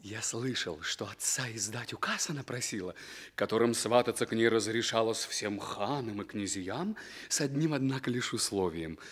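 An actor playing a proud young exiled prince in a theatrical radio fairy-tale drama, declaiming emphatically. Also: male voice, fairly low pitch, normal speed, a bit bright, husky. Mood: curious, dismissive.

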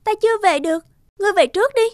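A woman voicing a young celestial princess in a cartoon, gentle but stubborn, lovelorn and emotional. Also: very high-pitched, animated, racing, dry recording, studio quality, pitched above the performer's own voice. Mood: stubborn, indignant. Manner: pleading, emotional.

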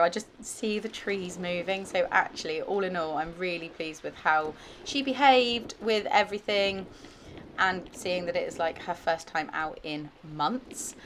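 A woman's voice: lowish voice, slight background noise. A young woman with a British accent, an equestrian vlogger giving chatty, upbeat voice-over commentary on her own showjumping round. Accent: British English. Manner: chatty, upbeat, self-reflective.

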